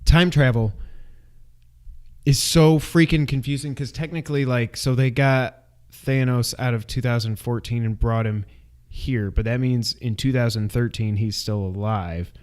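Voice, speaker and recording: A man co-hosting an unscripted movie review, chatting casually and retelling his reactions in an animated, exclamatory way. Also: medium pitch, a little slow. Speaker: co-host of a movie review show.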